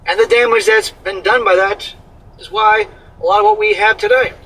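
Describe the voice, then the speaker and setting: Bright-voiced blunt man, slightly off-mic. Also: high voice, steady tone, normal speed, recorded from a distance, poor audio. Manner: blunt, profane, scolding. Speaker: commentary co-host reading an article. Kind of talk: casual reaction commentary.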